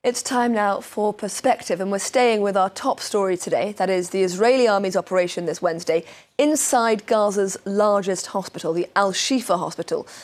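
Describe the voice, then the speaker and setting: A woman's voice: medium pitch, moderate pace. A woman presenting a news interview programme, introducing the topic and putting questions to a guest.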